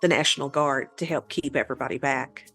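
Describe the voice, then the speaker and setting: A woman's voice: a bass voice, quick pace, a bit bright, slightly rough, faint music behind. A woman co-hosting a talk show, recounting a paranormal case in an informal, conversational storytelling style. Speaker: podcast co-host and presenter of the case.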